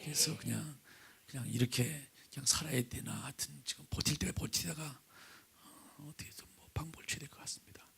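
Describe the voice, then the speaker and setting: A middle-aged male pastor preaching with a hoarse, raspy voice from vocal nodules, often sinking to a breathy near-whisper and asking the congregation questions. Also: medium pitch, brisk, fairly bright tone. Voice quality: raspy, gravelly. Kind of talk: sermon with opening prayer. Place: church sanctuary, at the pulpit.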